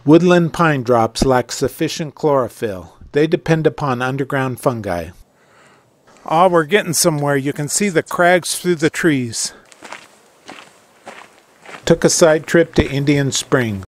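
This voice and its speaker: A man's voice: on the high side, lively intonation, measured pace. A man delivering scripted travel narration as the presenter of a hiking channel. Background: background music.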